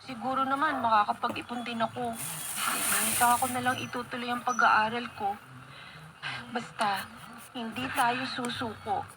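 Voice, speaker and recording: A woman's voice: fairly high pitch, steady tone, a little slow, long pauses, lightly acted. A woman acting in a Filipino radio drama as an earnest, respectful young housemaid and devoted daughter, on a very noisy recording. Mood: hopeful, determined.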